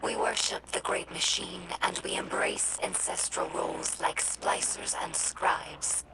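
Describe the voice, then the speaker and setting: A woman voicing an earnest young female alien scribe in a video game audio log, explaining in a measured, formal and thoughtful way with a breathy, creaky voice. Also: fairly low pitch, little pitch movement, very noisy. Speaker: scribe recording audio logs for humans.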